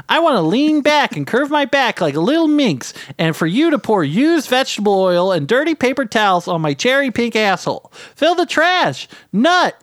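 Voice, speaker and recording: A man's voice: very high-pitched, no echo, studio quality, pitched above the performer's own voice, heavily acted. A man voicing a crude, bossy little trash demon who taunts and demands in a comic horror story. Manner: shouting, taunting, needy, bossy. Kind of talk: comedic horror storytelling character voice.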